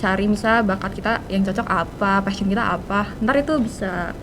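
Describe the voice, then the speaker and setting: A woman's voice: medium pitch, fairly even intonation, a little fast, background noise. A teenage girl, a student guest answering casually and dropping in English words. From Indonesia. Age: teens. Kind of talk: casual podcast interview.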